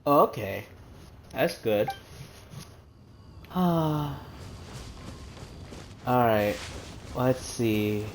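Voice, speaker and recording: A man's voice: mid-range voice, expressive, very slow, gravelly, pauses often, a little hiss or hum. A man streaming a game live, reacting in a chatty, emotional way with sighs. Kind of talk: casual live gameplay commentary.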